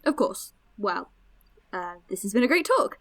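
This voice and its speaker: A woman's voice: medium pitch, animated, normal speed, close to an everyday voice. A woman playing an eager, friendly young podcast host in an audio drama, chatty, encouraging and inquisitive.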